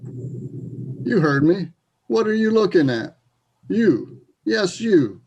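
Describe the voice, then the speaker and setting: A man's voice: high-pitched, very animated, takes it slowly, darkish tone, slightly rough, heavily acted. Man acting a belligerent drunk bully, dull recording. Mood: aggressive. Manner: confrontational, taunting. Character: drunk, belligerent, self-loathing bodybuilder bully in a small town. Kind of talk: readers theater acting. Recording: dull, muffled sound.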